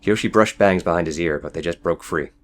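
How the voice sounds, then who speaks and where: A man's voice: low-pitched, fairly quick. A man reading scripted fiction as the narrator of an audiobook.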